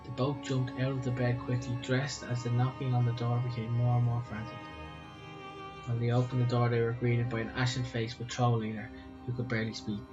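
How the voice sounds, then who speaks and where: A man's voice: medium pitch, completely flat delivery, brisk, lo-fi sound. A man with an Irish accent, co-hosting a podcast and reading a story aloud. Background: music.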